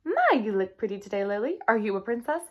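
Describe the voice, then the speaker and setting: A kindly, polite neighbour man as read by a woman in a children's storybook. The voice is friendly and lilting, and high for a man. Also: very animated, fast, a little mellow. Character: Mister Kaminsky, kindly polite male neighbour.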